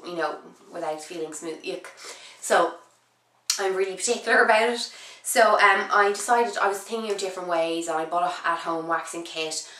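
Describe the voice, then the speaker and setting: A young woman chats to her camera while ill, her voice roughened and sitting lower than usual for her. She rambles, restarts sentences and fills gaps with ums. The sound bounces around an echoey room. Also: fairly bright tone. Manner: chatty, rambling, frequent "um" and restarts. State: sick, voice husky and low. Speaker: beauty vlogger. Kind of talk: casual chat to camera.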